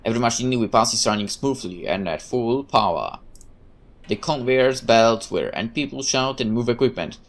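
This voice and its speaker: A woman reading a visual novel aloud in an expressive, sometimes hesitant way. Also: rumbling low, moderate pace. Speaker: gameplay narrator.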